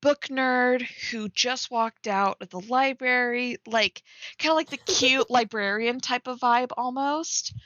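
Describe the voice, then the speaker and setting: Bright, excitable young woman, higher voice. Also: measured pace, dry recording, dull audio. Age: young adult. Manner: giggly, excitable, teasing. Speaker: streamer. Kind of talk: casual livestream banter with a friend.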